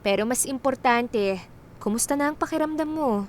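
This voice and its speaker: A woman playing a kind, composed doctor who was once a star, speaking gently and conversationally in a radio drama. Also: high voice, normal speed, a little hiss or hum, natural voice. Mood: caring.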